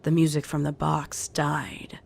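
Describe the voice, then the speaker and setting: A woman's voice: deep voice, completely flat delivery, measured pace, vocal fry, darkish tone. A woman reading scripted horror fiction as a dramatic story narrator. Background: music under the voice.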